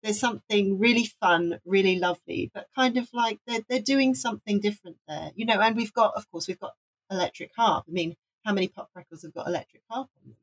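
A woman's voice: mid-range voice, brisk, breathy edge, poor audio. A woman from Wales co-hosting a casual music podcast, talking enthusiastically and rambling with restarts. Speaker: podcast co-host.

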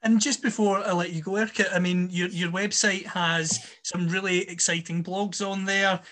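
A man's voice: sits high, level tone, moderate pace, a bit bright. A man hosting an interview, asking questions in a polished, enthusiastic way. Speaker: podcast host. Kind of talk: interview host.

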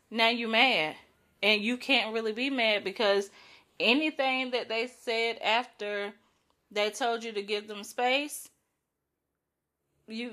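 A woman's voice: fairly high pitch, pushed and bright. A young adult woman hosting her podcast, talking casually and frankly about relationships while sick with a cold that strains her voice. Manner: chatty, frank, uses slang and light swearing. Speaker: podcast host. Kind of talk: casual solo podcast monologue.

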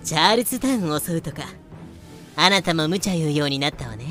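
A woman's voice: sits low, animated, fast talker, fairly bright tone, soft background music. Pirate captain played by a woman: feminine, cocky, breezy and sure of herself, for a fan audio drama. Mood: teasing. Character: flamboyant, cocky female pirate captain Blackbeard.

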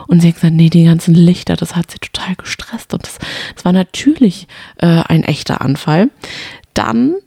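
A woman with a mellow, gravelly voice chats casually as a podcast co-host. She speaks somewhat hesitantly, piecing things together as she goes, and the recording is close and dry. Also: on the low side, normal speed, no room sound. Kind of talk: casual chat about reality TV.

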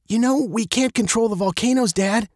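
A man reading aloud and voicing a bold, curious young girl who is quick to argue. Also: extremely high pitch, no room sound, clean studio sound, pitched above the performer's own voice.

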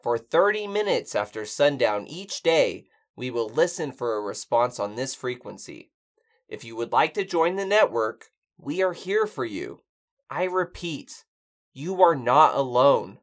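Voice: medium pitch, very animated, unhurried. A performer playing a pedantic, fussy apocalypse survivor who co-hosts a radio show in a pompous, wordy way. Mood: measured, earnest. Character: pedantic, fussy survivor co-hosting an amateur broadcast. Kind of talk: scripted comedic audio drama, bantering radio show.

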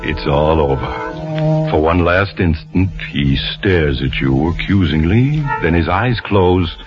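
An actor playing an ominous storyteller, narrating an old-time radio crime drama. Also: male voice, low-pitched, very animated, takes it slowly, heavily acted. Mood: measured, ominous. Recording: dull old radio recording, music underneath.

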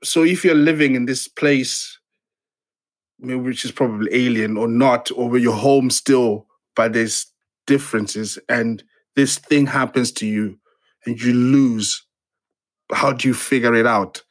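A man from Zimbabwe speaks slowly and thoughtfully as an interview guest. He often stops and restarts, circling back over his words while looking for the right phrase. His voice sits at an ordinary middle pitch. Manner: hesitant, halting, many restarts and fillers. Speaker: playwright guest. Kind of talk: reflective interview conversation.

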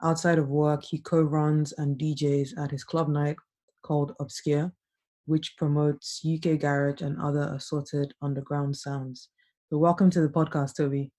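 A woman hosting a remotely recorded podcast interview, talking in a soft, relaxed way. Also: low-pitched, moderate pace. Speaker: podcast host. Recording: not studio quality, remote.

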